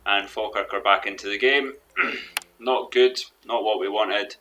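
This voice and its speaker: A young man commentating his own football management game in a rambling, hesitant way, his voice a little hoarse from losing it. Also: fairly low pitch, a little slow, pushed and bright, a little hiss or hum. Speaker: gaming commentator. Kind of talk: let's play commentary. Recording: faint background music.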